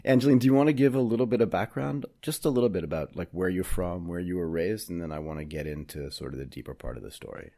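A man's voice: low-pitched, quick pace, hardly any pauses, mellow. A middle-aged man hosting a podcast, chatty and friendly, asking long rambling questions. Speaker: podcast host. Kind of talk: casual interview.

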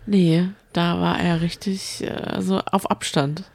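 Eine Frau plaudert als Co-Moderatorin eines Podcasts locker und mitfühlend über Reality-TV. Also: female voice, fairly low pitch, fairly even intonation, slow, vocal fry, a little mellow, dry recording. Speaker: podcast co-host. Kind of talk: casual chat about reality TV.

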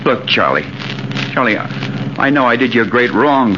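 A man's voice: medium pitch, expressive, moderate pace, lightly acted. An actor playing a frightened, stammering, pleading rich man, in 1940s radio thriller style. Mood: pleading, panicky. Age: middle-aged. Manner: stammering, desperate. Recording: dull, hissy old 1940s radio recording.

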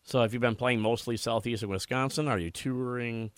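A man's voice: medium pitch, fairly even intonation, a little fast, clear, resonant tone, no room sound. An American man hosting a live talk radio show, discussing issues and interviewing guests. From United States.

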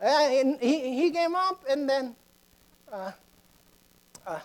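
A woman with a Filipino accent preaching in a halting way, often repeating words, moving between prayer and anecdote. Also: high-pitched, expressive. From Philippines.

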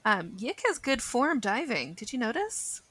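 A woman's voice: a little high, big pitch swings, unhurried, a bit bright, breathy edge. A woman making wry, teasing remarks in a casual film commentary chat.

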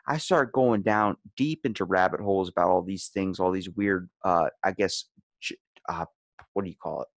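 A man's voice: fairly low pitch, vocal fry, no echo. A man in a casual podcast chat, rambling as host and animatedly telling stories, acting out the lines he quotes.

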